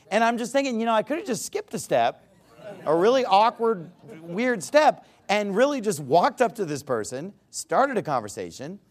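An American man preaching a sermon in a conversational, argumentative way, stressing points by repetition and addressing listeners directly in a folksy manner. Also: sits high. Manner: emphatic, repeats phrases for stress.

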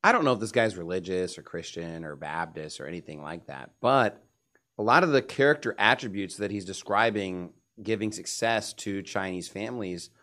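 A man with a fairly low voice speaks as a panelist in a religious discussion. He is emphatic and opinionated, delivering his points like someone used to quoting scripture. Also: lively intonation, normal speed, pushed and bright.

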